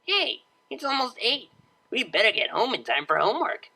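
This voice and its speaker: A woman's voice: fairly high pitch, sing-song, normal speed, vocal fry, pushed and bright, pitched above the performer's own voice, full character voice. A woman voicing a brash, laid-back young unicorn mare DJ in a pony story, with a casual, slangy, offhand manner. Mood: cheeky, teasing.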